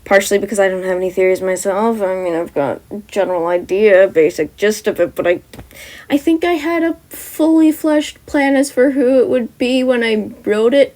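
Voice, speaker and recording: A woman's voice: fairly high pitch, a little mellow. A young woman narrating her own fan story as a podfic, then chatting in a rambling, upbeat way with frequent restarts. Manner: rambling, self-correcting, upbeat. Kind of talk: podfic narration and casual chat.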